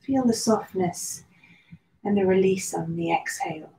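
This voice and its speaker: A woman leading a guided meditation, speaking slowly and soothingly with long pauses. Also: medium pitch, mellow. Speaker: meditation and yoga teacher. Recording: roomy echo with faint background noise.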